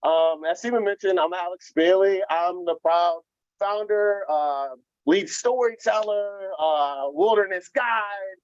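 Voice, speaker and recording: Loud, energetic man giving a keynote. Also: high-pitched, takes it slowly, no room sound, lo-fi sound. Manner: energetic, loud, humorous, inviting. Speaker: keynote speaker, nonprofit founder. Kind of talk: conference keynote.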